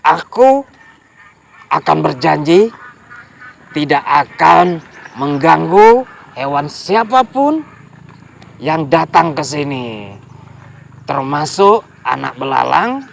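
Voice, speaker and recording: A big male crocodile from a children's storybook, played by a man with a raised, strained tone. He groans and stretches his words slowly. There is a faint hiss behind him. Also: a little high, animated, gravelly, exaggerated voice. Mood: solemn. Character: big male crocodile in pain, gruff then grateful.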